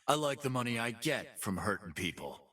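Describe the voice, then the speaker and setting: An actor playing a cocky, streetwise pit-fighting boss, boastful and sardonic, in video game voice lines. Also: male voice, medium pitch, a little fast, far from the mic. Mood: cocky menace. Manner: streetwise, boastful, deadpan wisecracking. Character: cocky male pit-fighting boss, brawny half-beast with sardonic swagger.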